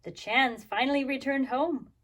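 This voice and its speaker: A woman teacher speaking to kindergarteners, expressive and simply instructive, as in story time and craft lessons. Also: sits high, normal speed. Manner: expressive, simple instructive phrasing for young children. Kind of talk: storybook read-aloud and craft instructions for children.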